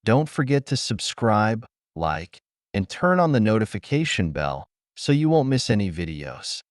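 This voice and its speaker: Male examiner, formal measured reads, lively intonation, dry sound. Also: fairly low pitch, no room sound. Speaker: examiner and channel host. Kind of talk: scripted exam questions.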